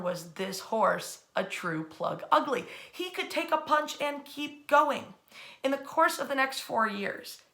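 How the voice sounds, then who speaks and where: An American woman narrating history as a dramatic story, with emphatic, theatrical phrasing and deliberate pauses. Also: fairly high pitch, bright, forceful tone.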